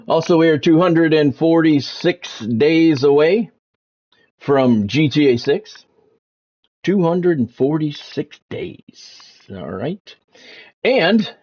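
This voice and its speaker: A man with a medium-pitched voice talks casually as a livestream host running through sports updates. His delivery is halting, and he often doubles back to repeat a word or phrase for emphasis. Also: a little mellow, breathy edge. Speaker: livestream host, former radio play-by-play announcer.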